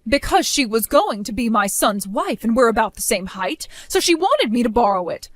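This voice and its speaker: A woman voicing a stern, belittling middle-aged mother in an animated story, with a cold, scolding manner. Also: fairly high pitch, normal speed, dry recording, clean studio sound. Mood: proud.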